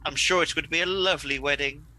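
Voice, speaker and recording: A man's voice: on the high side, steady tone, fast talker, pushed and bright. A man playing a pompous, gleeful, scheming supervillain in a comedic radio play, mocking and melodramatic. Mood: smug.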